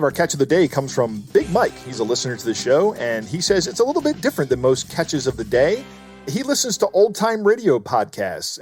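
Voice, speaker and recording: A man's voice: on the high side, darkish tone, dry recording. A man co-hosting a podcast, explaining things in an informal, instructive way. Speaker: podcast co-host. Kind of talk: conversational explanation.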